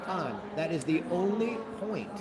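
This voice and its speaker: A flamboyant older man chatting casually as a festival host, theatrical and self-mocking, his voice a bit deeper than usual today. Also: lively intonation, a little slow, a loud crowd. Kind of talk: casual chat on a livestream.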